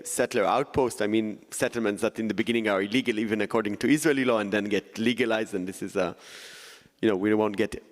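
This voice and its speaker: A man, a journalist on a festival panel, explaining at a measured, careful pace. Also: on the low side. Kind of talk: panel discussion talk. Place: festival conference hall. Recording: long reverb of a large hall.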